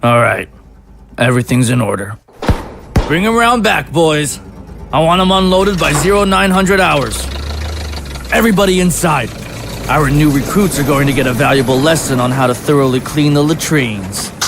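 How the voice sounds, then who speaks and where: A man playing a tough military drill instructor in an audio drama, barking clipped commands in a gravelly voice. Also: fairly high pitch, expressive, moderate pace, noisy background. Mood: brisk, commanding.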